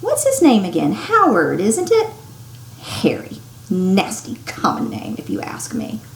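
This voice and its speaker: A woman's voice: mid-range voice, huge pitch swings, unhurried. A woman reading the part of a snobbish, disapproving middle-aged wife in a storybook, stiff and clipped, in an echoey room. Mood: stiff disdain. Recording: echoey room with faint noise.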